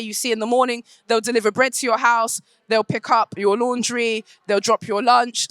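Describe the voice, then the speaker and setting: A woman's voice: fairly high pitch, fairly bright tone, dry recording. Brief: woman, English accent, conference speaker, filler-heavy delivery, dry mic. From United Kingdom. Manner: fluent but full of ums and restarts. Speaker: conference speaker, company head. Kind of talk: conference presentation and Q&A.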